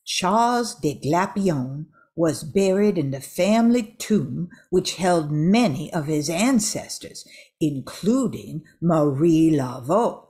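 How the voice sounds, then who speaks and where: A woman's voice: fairly low pitch, dark tone. An older woman reading scripted narration for a radio drama.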